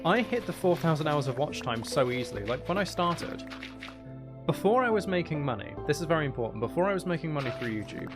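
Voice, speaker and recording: A soft-spoken man chatting casually on a livestream as an ASMR creator, explaining things in a candid, aggrieved way. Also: medium pitch. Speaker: ASMR content creator and streamer. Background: music in the background.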